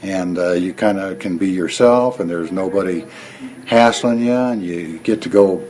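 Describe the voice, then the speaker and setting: A middle-aged American man with a deep, low-pitched voice speaks casually to an interviewer. He sounds like an everyday visitor giving his impressions. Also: normal speed, a little mellow.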